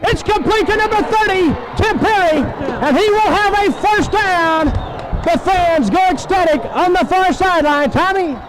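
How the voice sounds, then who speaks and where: A man's voice: extremely high pitch, unhurried, a loud crowd, rough recording. A man calls live football play-by-play on a 1970s radio broadcast, in clipped phrases full of names and numbers.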